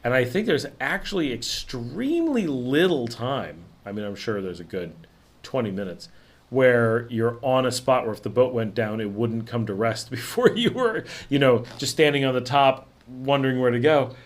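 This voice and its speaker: Middle-aged male livestream host, casual chat, calm unhurried delivery, mildly raspy. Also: medium pitch. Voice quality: raspy tonight. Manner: calm, unhurried, mellow talker.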